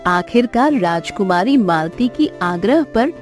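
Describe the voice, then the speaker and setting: A woman's voice: mid-range voice, animated, a little slow. A woman giving scripted storybook narration for a cartoon. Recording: music under the voice. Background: background music.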